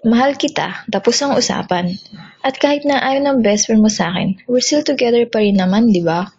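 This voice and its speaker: Woman acting a high, husky-voiced sassy girlfriend, Filipino accent. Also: moderate pace, a little mellow, muffled recording. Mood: breezy, affectionate. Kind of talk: amateur radio drama acting in Taglish.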